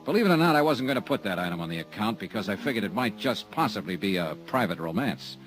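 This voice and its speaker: An actor playing a sardonic, hard-boiled insurance investigator in a 1950s radio drama, clipped and wisecracking. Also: male voice, lowish voice, big pitch swings, quick pace, soft background music. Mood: narrating. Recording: old radio broadcast recording.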